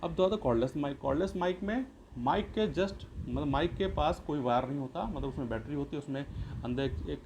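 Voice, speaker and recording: Male teacher, fairly high pitch; explains step by step with rhetorical questions, light noise behind the voice. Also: brisk, darkish tone. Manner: repeats and rephrases points, poses questions then answers them. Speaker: school teacher. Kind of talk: explanatory classroom-style lesson. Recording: clear background noise.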